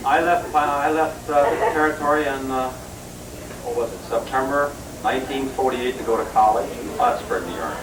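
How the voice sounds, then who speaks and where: A man's voice: mid-range voice, normal speed. A man in his sixties telling his family story in a rambling, joking way, with many fillers. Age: 60s. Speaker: family member introducing himself. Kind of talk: casual recorded family introductions. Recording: echoey room, mic far off, noisy.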